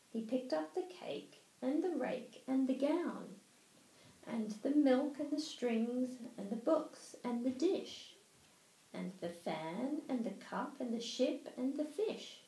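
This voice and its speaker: A woman's voice: high voice, animated, slow, gravelly, warm tone, echoey room, low-quality recording. A woman with an Australian accent reading a rhyming children's storybook aloud expressively. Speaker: storybook reader, mother.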